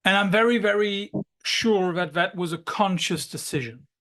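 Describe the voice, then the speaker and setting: A man's voice: sits high, moderate pace, bright, forceful tone. A man interviewing a musician, asking direct, probing questions in a conversational way.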